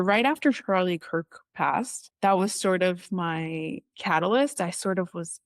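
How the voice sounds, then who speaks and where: A woman's voice: fairly low pitch, dry recording, clean studio sound. A woman in her thirties from Israel with no accent, telling personal stories as a guest in a casual interview, filling her speech with "like" and "you know" and acting out what she said to people. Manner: chatty, heavy use of "like" and "you know", quoting herself.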